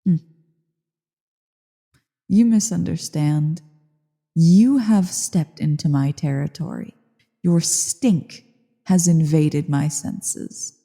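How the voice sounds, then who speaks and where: An ancient female dragon, played by a woman for a fantasy ASMR roleplay, speaks in a low, very dark voice with imperious menace. Her words come slowly and deliberately, and the sound hangs in a long cavernous reverb. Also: creaky, slightly rough, exaggerated voice. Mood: menacing. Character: ancient evil female dragon, haughty, menacing, newly woken.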